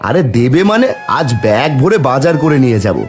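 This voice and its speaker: A man voicing village menfolk in a cartoon, speaking in an animated, homely way. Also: medium pitch, fairly quick, faint music behind, lightly acted. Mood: cheerful. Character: village men: concerned headman and eager helpers. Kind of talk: cartoon character dialogue.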